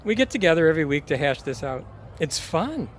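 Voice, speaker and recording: A self-assured middle-aged would-be ladies' man, played by a man, talks with a lively, swinging intonation. He is smug in a comic radio play, with faint noise around him. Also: fairly high pitch, fairly quick. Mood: smug, interested. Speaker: voice actor playing the hero's friend. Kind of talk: community audio theatre comedy acting.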